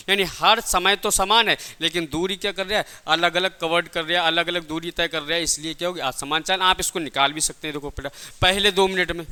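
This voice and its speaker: A male teacher with a high, very bright, forceful voice that rings clear. He lectures step by step, often repeating himself. He poses questions to the students and then answers them himself. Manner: didactic, repeats phrases and asks rhetorical questions he answers himself. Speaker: physics teacher for exam preparation.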